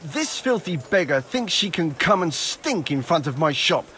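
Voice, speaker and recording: Irritable shopkeeper, indignant and scornful, raised lively voice, game cutscene, faint ambient noise. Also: male voice, fairly high pitch, moderate pace. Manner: grumbling, indignant. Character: irritable, scornful male medieval armourer shopkeeper. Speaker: voice actor in a video game. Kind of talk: dramatic game cutscene dialogue.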